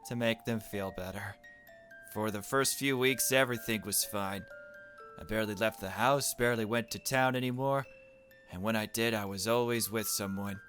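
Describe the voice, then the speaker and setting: A man's voice: lowish voice, a bit bright. A man reading a visual novel aloud as a gaming host, with joking, irreverent asides. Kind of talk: let's play, dramatic reading mixed with joking commentary.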